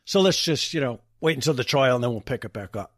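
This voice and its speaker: A man's voice: mid-range voice, lively intonation, fast talker, no echo, studio quality. A man hosting a podcast, chatting casually in a rambling storytelling way with plenty of swearing. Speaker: podcast host. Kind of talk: casual chat.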